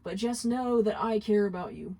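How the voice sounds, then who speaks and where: A composed male demon played by a woman speaks in a soft, measured way at a middle pitch for a female voice. He is serious, protective and tender, a character in a dramatic fan story read aloud. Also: lightly acted, rough recording. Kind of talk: fanfiction reading.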